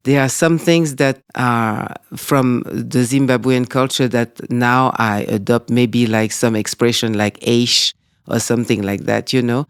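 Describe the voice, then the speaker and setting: A man with a French accent speaking as a guest in an interview, talking in a measured, reflective way. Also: mid-range voice, steady tone, smooth, no room sound, clean studio sound. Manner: measured, reflective, with frequent "you know". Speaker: guest, dance school director. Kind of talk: conversational interview.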